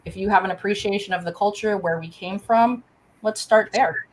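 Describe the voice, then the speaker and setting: A woman's voice: medium pitch, normal speed, low-quality recording. Woman teacher, panel guest, earnest storyteller, faint noise behind her on the call. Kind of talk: casual panel discussion on a video call.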